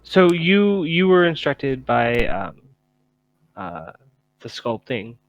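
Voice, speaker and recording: A man running a tabletop game as game master, explaining things casually in halting, hesitant phrases. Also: on the high side, big pitch swings. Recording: slightly dull lo-fi microphone.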